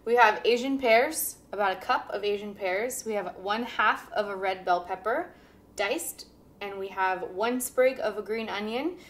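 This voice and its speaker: Woman in her 30s, cooking host, average pitch, upbeat, chatty, laughing, home kitchen, lapel mic. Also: measured pace. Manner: upbeat, instructive, laughs often. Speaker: cooking show host and teacher. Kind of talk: casual cooking demonstration.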